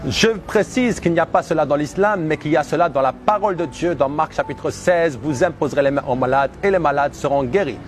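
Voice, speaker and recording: A man in his thirties from Algeria gives a personal religious testimony, speaking earnestly and emphatically in deliberate, repeated phrases. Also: a little high, a little hiss or hum. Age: 30s.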